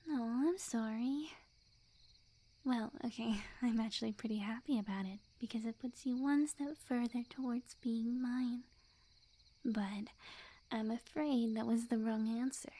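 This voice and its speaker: A woman playing a sweet, obsessive witch girlfriend in an ASMR roleplay, speaking softly and breathily in a coy, unhurried, often whispered voice. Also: a little high. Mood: pleased, chatty.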